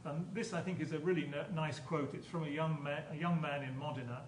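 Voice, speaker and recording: A man's voice: fairly high pitch, steady tone, a little fast. A man giving an academic conference lecture as a professor, explaining hesitantly and often repeating his words. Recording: echoey room, distant mic, background noise.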